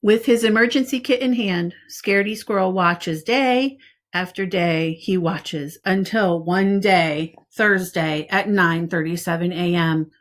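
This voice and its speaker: A woman's voice: on the low side, unhurried. Woman reading a children's picture book aloud. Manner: expressive storytelling, addressing young listeners directly. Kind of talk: children's read-aloud with casual asides.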